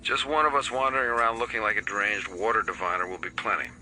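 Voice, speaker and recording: A man's voice: on the low side, steady tone, quick pace, sharp tone, faint music behind, rough recording. An actor playing a wry, dogged male FBI investigator in an audio drama, with a dry, wisecracking manner. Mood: focused.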